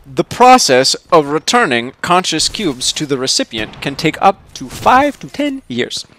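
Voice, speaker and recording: A man's voice: on the high side, very animated, measured pace, fairly bright tone. Officious, unhelpful AI clerk voiced by a man. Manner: formal, bureaucratic, matter-of-fact. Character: unhelpful officious male AI clerk, coolly sardonic. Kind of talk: short story character read aloud.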